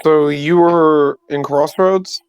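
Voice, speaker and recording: A man talking casually while playing a tabletop game. His voice sits a bit high and sounds dry and close. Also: fairly even intonation, takes it slowly, smooth, no room sound. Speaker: player.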